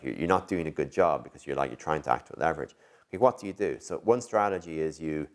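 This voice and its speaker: Very deep-voiced man, thinking aloud. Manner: animated, thinking aloud, hedging with frequent "you know" and "like". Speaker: tech executive being interviewed. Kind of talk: conversational interview answer.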